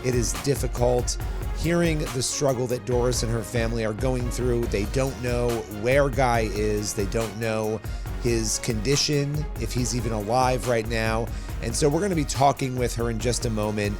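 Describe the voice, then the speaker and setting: A man hosting an interview podcast, speaking earnestly, his voice slightly hoarse. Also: medium pitch, moderate pace, music in the background. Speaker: podcast host.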